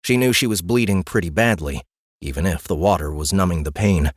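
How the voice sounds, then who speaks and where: A man's voice: sits low, a little fast, no echo. A man reading scripted fantasy narration as an audiobook narrator, close on a studio mic.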